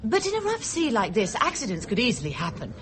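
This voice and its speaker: A woman's voice: a little high, huge pitch swings, fast talker, a crowd in the background. An actress playing a tactless, needling young woman passenger in a radio drama, arch and provocative, recorded over ambient noise. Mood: pointedly provocative. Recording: noisy ambience behind the voice.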